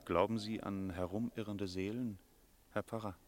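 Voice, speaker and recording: Actor as a deep-voiced, haunted mystical stranger. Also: male voice, fairly even intonation, normal speed, a little hiss or hum, lightly acted. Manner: solemn, poetic, haunted. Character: eerie, mystical wandering stranger from Masuria. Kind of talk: German radio drama acting.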